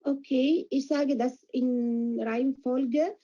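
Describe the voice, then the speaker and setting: A woman speaks in a fairly high but very mellow, dark-toned voice. She searches for words and fills her pauses with hesitations. The audio is dull and lo-fi, like an online call. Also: steady tone. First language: Arabic. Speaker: project participant. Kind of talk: interview answers in learner German. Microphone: video call.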